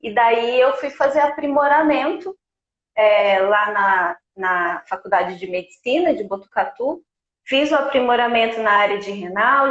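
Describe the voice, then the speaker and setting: A woman's voice: a little high, a bit bright. A woman of forty, a guest nutritionist telling her story in a conversational way over a remote call. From Brazil. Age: 40s. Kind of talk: casual live chat. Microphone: remote video call. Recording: echoey, dull remote call audio.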